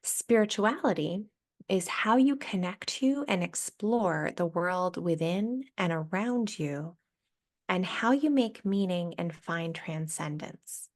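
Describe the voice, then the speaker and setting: A woman's voice: fairly low pitch, expressive, takes it slowly. A woman hospital chaplain with a nasal voice, speaking thoughtfully with many fillers in a podcast interview. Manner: thoughtful, many ums and uhs. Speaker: hospital chaplain and spiritual director.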